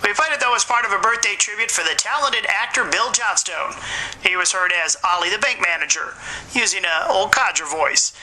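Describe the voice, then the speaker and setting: Radio presenter, very bright sharp voice, scripted announcing, faint noise behind. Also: medium pitch, animated, a little fast, rough recording. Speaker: radio show host.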